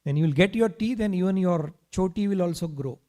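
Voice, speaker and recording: A man voicing a doting mother who coaxes and gently explains to her small son in a storytelling. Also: high-pitched, fairly quick, natural voice. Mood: patient teaching.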